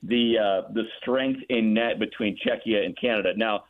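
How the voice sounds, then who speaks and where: A man's voice: lowish voice, moderate pace. Man on a phone-like line. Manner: enthusiastic. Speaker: radio play-by-play broadcaster as guest. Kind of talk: conversational sports analysis. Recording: narrow muffled phone-like line.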